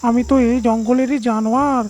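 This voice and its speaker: A woman voicing a sly, envious male jackal in an animated fable, wheedling and aggrieved in manner. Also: on the high side, brisk, natural voice. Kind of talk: animated moral story dialogue.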